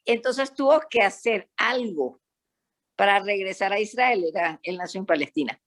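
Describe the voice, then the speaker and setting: An older woman from Panama with a Panamanian accent, talking as a psychologist guest in a panel discussion and telling stories in an animated, emphatic way. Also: mid-range voice, moderate pace. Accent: Panamanian Spanish.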